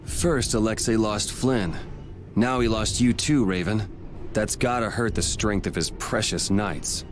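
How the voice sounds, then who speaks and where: A man's voice: lowish voice, unhurried, a little hiss or hum, lightly acted. Man playing a blunt cool young swordsman. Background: game music.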